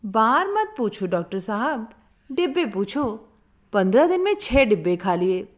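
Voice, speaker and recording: A woman's voice: on the high side, sing-song, a little slow. A woman voicing a naive, gluttonous truck driver in a comic story, with a guileless, colloquial manner. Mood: sheepish, earnest.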